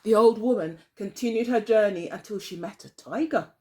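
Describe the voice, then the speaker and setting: A woman's voice: mid-range voice, normal speed, warm tone. A woman teacher telling a story expressively to young children, phrasing carefully and putting questions to them. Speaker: early years teacher, storyteller.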